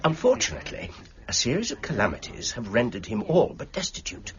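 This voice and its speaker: Genial gentleman, hugely animated pitch. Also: fairly quick. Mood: genial, persuasive. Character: genial, worldly older gentleman, persuasive benefactor. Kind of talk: period radio drama acting. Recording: dull recording with crowd behind. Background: crowd murmur.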